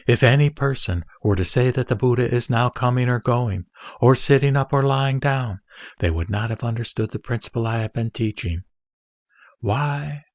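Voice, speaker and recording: A man playing the Buddha as a patient, emphatic teacher in a scripted sutra audio drama, declamatory and deliberate. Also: mid-range voice, a little mellow, no echo, studio quality, close to an everyday voice.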